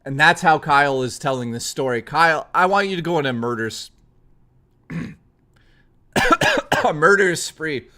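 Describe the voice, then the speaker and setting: A young man streaming live, chatting casually and excitably with viewers and swearing often. He is hoarse and losing his voice. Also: a little high, measured pace, long pauses.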